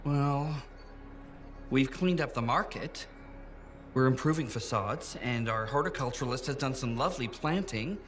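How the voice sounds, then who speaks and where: An actor playing a fussy, earnest safety officer in a fort. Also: male voice, mid-range voice, expressive, moderate pace, fairly bright tone, close to an everyday voice.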